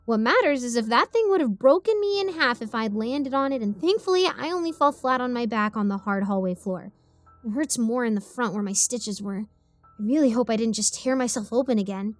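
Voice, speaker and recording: A chatty ten-year-old girl, played by a woman narrates her story in the first person. She is excitable and defiant, given to rambling asides, in a high voice over light music. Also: brisk, close to an everyday voice. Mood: rambling, bemused.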